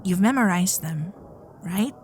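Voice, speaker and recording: A woman's voice: medium pitch, animated, a little hiss or hum, natural voice. A woman voicing a sweet, shy, protective kitsune girlfriend in an ASMR roleplay, speaking in a hesitant, caring way. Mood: earnest, protective. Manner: hesitant, flustered, caring, softly halting.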